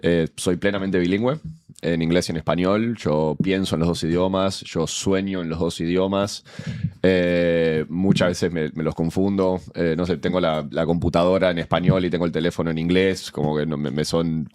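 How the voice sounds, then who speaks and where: A young man with an Argentine Spanish accent and a low, deep voice talks through his column. He stumbles through fillers and restarts as he explains. The tone is casual and conversational. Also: steady tone, darkish tone. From born in Guatemala, raised in the US and Argentina. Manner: halting, many fillers and restarts. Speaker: show columnist.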